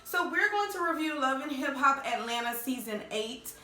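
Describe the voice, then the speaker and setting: A woman with a bright, fairly high voice talks her viewers through a reality show recap, slightly hoarse from a cold. She chats loosely and leans on fillers like "you know" and "okay". Her voice bounces around a roomy, echoey space. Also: lively intonation, normal speed, faint music behind. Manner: addresses viewers directly as "y'all". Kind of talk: casual chatty recap vlog.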